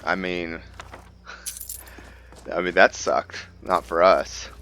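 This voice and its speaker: A man giving casual gameplay commentary, thinking aloud with halting, hesitant pauses. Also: low voice, steady tone, sharp tone, a little hiss or hum. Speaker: gamer host of a roleplay series.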